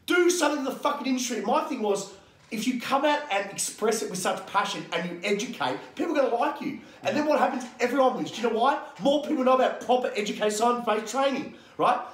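A man's voice: very high-pitched, expressive, fast talker. An Australian man in his thirties, a strength coach and podcast guest, talking brashly with plenty of swearing. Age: 30s. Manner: brash, fired-up, swears freely. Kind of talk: podcast interview. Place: gym, indoors. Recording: echoey gym room.

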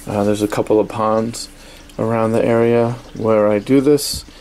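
A man giving a casual, halting, offhand unboxing review at a desk in a small indoor workspace. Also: on the low side. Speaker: product reviewer. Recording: steady noisy room ambience.

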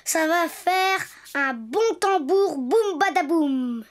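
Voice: very high voice, animated, slow, a little hiss or hum, heavily acted. A performer voicing a cheerful, imaginative little boy donkey in a children's cartoon, with playful exclamations and simple singsong phrases. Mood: enthusiastic. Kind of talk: children's cartoon dialogue, talking to himself and viewers. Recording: music behind the voice.

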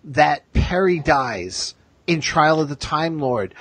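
A man co-hosting a casual pop-culture discussion with an enthusiastic, halting fan's delivery. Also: a little high, lively intonation, fairly bright tone, husky, no echo. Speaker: podcast co-host.

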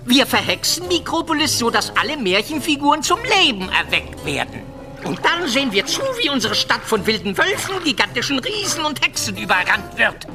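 A scheming male sorcerer with a very high, swooping voice. He is pompous, sly and theatrical, performing in a fantasy audio drama over music. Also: sing-song, normal speed, full character voice. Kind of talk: audio drama acting for children.

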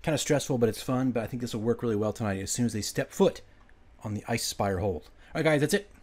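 A man working as a game master, giving an informal, enthusiastic, hurried screen-share walkthrough. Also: mid-range voice, a little mellow. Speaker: game master making a prep video. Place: indoors at a computer, voice-over.

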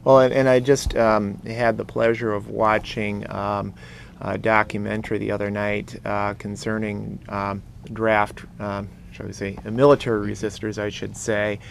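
A man's voice: on the low side, little pitch movement, moderate pace, gravelly, slight background noise. A middle-aged American man hosting a political talk show, speaking in an earnest, opinionated way. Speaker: talk show host and activist. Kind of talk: political discussion on a call-in TV show. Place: small indoor TV studio.

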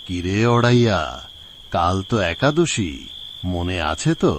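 A male actor as a stern yet indulgent cartoon holy man. His low voice speaks in short, scolding phrases over insect and bird noise. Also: lively intonation, unhurried. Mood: calm, indulgent. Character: stern but generous village guru, a holy man.

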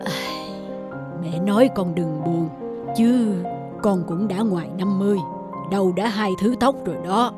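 A woman voicing a worried, suspicious elderly mother-in-law with a reproachful manner in an animated drama. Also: medium pitch, measured pace, music in the background, close to an everyday voice. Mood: coaxing, fretful. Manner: fretful, reproachful. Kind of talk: animated moral drama voice acting.

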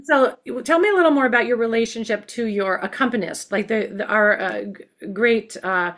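A woman's voice: on the high side, moderate pace, fairly bright tone. A middle-aged woman hosting a video-call interview from home, asking chatty questions with hesitations. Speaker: host. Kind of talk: casual interview. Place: home room.

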